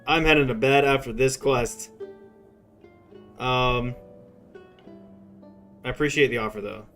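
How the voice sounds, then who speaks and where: A man's voice: mid-range voice, unhurried, fairly bright tone. An American man chatting casually and in slang while live-streaming a game. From United States. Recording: game music behind the voice.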